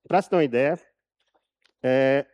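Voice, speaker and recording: A man with a Brazilian accent giving a live talk from a prepared text, telling his story deliberately and emphatically, repeating phrases for effect. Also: medium pitch, highs cut off. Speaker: journalist and author speaking at an event.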